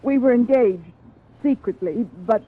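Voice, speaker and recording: Defensive young radio-drama actress. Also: female voice, fairly high pitch, a little slow, slightly rough. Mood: confessing. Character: ambitious, defensive young actress under questioning. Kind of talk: 1950s radio crime drama acting. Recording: tinny, phone-like old radio recording.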